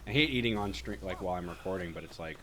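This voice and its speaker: Give me a man commenting casually on a video game during a live stream. He rambles and swears as he chats, and he eats while he talks. His pitch rises and falls freely as he speaks. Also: animated, very fast, a bit bright, slight background noise. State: eating while talking.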